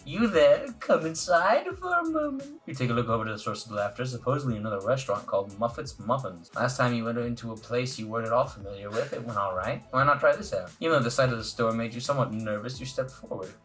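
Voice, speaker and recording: A man's voice: medium pitch, fast talker, hardly any pauses, a bit bright. A man hosting a let's play, reading visual novel narration aloud in a casual way. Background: faint music.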